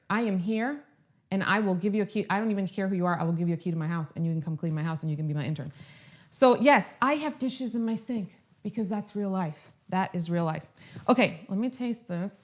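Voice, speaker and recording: A chatty woman in her thirties from Croatia hosts a casual live stream. She sounds upbeat and talks in a rambling, friendly way, with restarts and side remarks. Her voice sits at an ordinary middle pitch. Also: fairly quick. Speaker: lifestyle video host.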